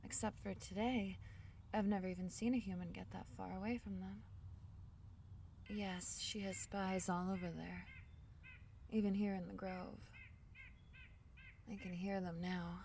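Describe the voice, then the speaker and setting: Woman doing a shy little forest fairy for asmr roleplay, soft gentle mellow voice, a bit distant w/ some background noise. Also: medium pitch, fairly even intonation, unhurried, vocal fry, long pauses. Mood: grave. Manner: soft-spoken, gentle, hesitant, breaking into whispers. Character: small, shy, kind female forest fairy healer. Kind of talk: intimate ASMR fantasy roleplay.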